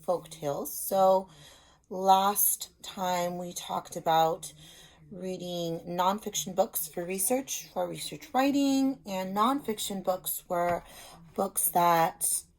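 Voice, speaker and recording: A woman's voice: on the low side, takes it slowly, low-quality recording. A woman speaking as a reading teacher, explaining simply and reading a story aloud to children, stressing key words.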